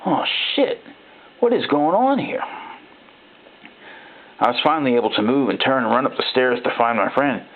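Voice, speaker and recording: Man acting rattled gravelly horror-story survivor, phone-like sound. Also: medium pitch, expressive, moderate pace, pauses often. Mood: panicked. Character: frightened ordinary man recounting robot replacements. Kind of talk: first-person dramatic horror narration. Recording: thin, phone-like narrow-band sound.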